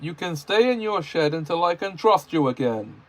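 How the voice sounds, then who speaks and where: A man's voice: mid-range voice, takes it slowly, darkish tone, slightly rough, low-quality recording. A man doing a stern railway boss. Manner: stern, scolding, commanding. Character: stern but kind older male railway boss.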